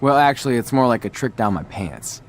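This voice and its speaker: A man's voice: medium pitch, lively intonation, a little fast, close to an everyday voice. A man playing a cocky, playful young pro wrestler talking casually and boastfully in a video game scene. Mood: casual, sheepish.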